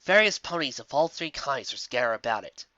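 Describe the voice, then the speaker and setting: A man's voice: mid-range voice, sing-song, fairly quick, sharp tone, dry recording. A man reading scripted fan fiction as a narrator, on a slightly dull, muffled recording.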